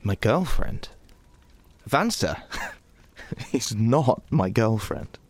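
Romantic fantasy lead: young warlock, earnest, protective, animated mid-range delivery. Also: male voice, medium pitch, drawn-out words, dry recording, full character voice. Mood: hesitant. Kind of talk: fantasy audio drama acting.

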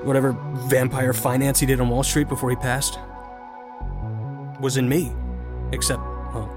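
An actor playing a privileged, brash young son in a first-person audio drama, wry and mouthy. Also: male voice, medium pitch, level tone, normal speed, over music, close to an everyday voice. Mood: wry, reflective.

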